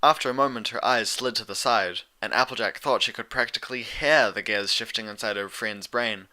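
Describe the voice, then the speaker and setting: A man's voice: on the low side, fairly quick, pushed and bright. A man reading scripted story narration as the narrator. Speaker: narrator and reader.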